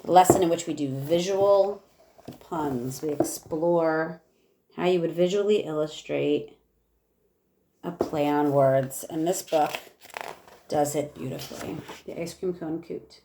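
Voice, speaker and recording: A woman teacher reading a rhyming picture book aloud in a measured way, with casual asides. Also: sits low, dark tone, a little hiss or hum.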